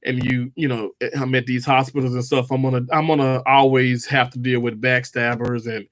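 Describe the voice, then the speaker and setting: A man's voice: medium pitch, level tone, moderate pace. A man, chatting casually with viewers on a livestream, rambling with lots of fillers and restarts. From Mississippi, US. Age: 30s. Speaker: livestream host.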